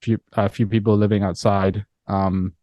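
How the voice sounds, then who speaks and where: Man, scottish accent, fairly low voice, dead dry sound, unhurried interview talk. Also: no echo. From Myanmar. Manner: relaxed and reflective, with frequent repeats and fillers. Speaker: interview guest, musician. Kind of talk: casual interview chat.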